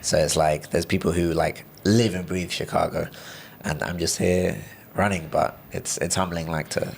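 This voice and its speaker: A man's voice: low voice, fairly even intonation, moderate pace, creaky, a little hiss or hum. A young man from Brazil, with a British-tinged accent, chatting casually as a podcast guest, rambling with lots of fillers and restarts. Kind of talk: casual interview chat.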